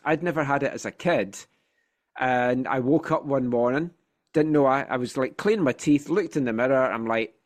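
A Scottish man hosting a football podcast in casual chat, telling things with wry animation, slightly congested from a sinus infection. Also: medium pitch. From Scotland.

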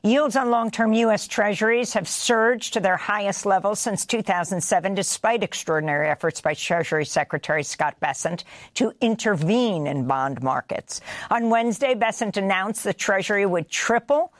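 A woman news anchor with an American accent reading scripted headlines in a measured, deliberate, emphatic way. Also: medium pitch, no room sound. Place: broadcast studio.

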